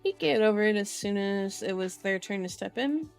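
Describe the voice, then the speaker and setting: A woman's voice: medium pitch, normal speed. A woman doing a casual ASMR book reading, chatting playfully to viewers with informal asides and correcting herself as she reads. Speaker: streamer, reader. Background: background music.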